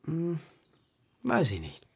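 A man playing a tired composer father, gruff but tender, with dry, laconic delivery in a German radio play for children. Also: on the high side, huge pitch swings, takes it slowly, slight background noise, heavily acted. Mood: wry.

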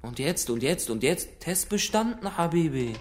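Mocking, condescending bomb-building conspirator. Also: male voice, fairly high pitch, big pitch swings, moderate pace, slight background noise. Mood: mocking, amused. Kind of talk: radio crime drama dialogue.

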